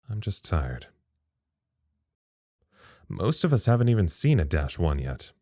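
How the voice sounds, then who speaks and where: A man playing a thoughtful, uneasy log-keeper at a secret research site in an audio drama, measured and confiding. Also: sits low, very animated, mellow, dry recording, clean studio sound, heavily acted. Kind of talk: audio drama monologue as a recorded incident log.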